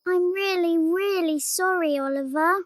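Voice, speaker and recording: Very high, sorrowful little girl. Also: no echo, studio quality. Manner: soft, halting, regretful. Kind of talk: animated fantasy game voice acting.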